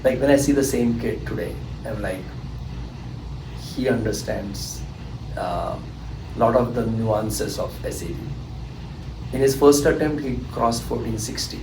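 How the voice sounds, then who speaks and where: A man's voice: mid-range voice, unhurried, pauses often, background noise. A man in his fifties from India with an Indian accent, a tutor telling rambling, hesitant stories in an interview. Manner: rambling, hesitant with fillers and restarts. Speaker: SAT tutor, guest. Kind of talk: anecdotal storytelling in an interview. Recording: echoey room, distant mic, humming air conditioning.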